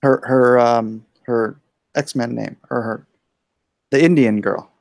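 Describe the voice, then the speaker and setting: A man's voice: medium pitch, steady tone, slow pace, creaky, a little mellow, no room sound. A man in his forties, born in Hawaii and raised in California, talking casually in a blunt, opinionated way. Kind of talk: casual chat.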